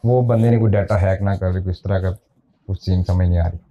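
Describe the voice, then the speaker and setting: A man's voice: low voice, steady tone, a little fast. A man chatting casually as a podcast guest, hesitant and often repeating his phrases. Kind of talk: casual chat. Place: podcast studio. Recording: slightly dull, band-limited podcast audio.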